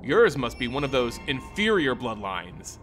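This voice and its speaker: A man's voice: medium pitch, big pitch swings, unhurried, fairly bright tone, faint music behind. A man playing a proud, shrewd holy warrior in a fantasy story, stern and challenging. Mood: scornful.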